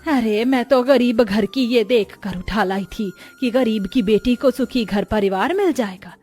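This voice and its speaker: A woman's voice: fairly high pitch, a little slow, darkish tone, slightly rough, soft background music. Woman as an emphatic, domineering mother-in-law. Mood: scornful. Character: domineering middle-aged mother-in-law, effusive then scornful. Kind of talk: Hindi cartoon moral story voice.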